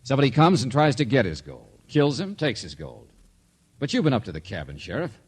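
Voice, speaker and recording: Husky, wisecracking radio private eye. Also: male voice, mid-range voice, sing-song, brisk. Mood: firm, explaining. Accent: American. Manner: clipped, wisecracking, commanding. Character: tough, wry, confident American private investigator. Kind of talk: 1940s-50s American radio drama acting. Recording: old muffled radio drama recording.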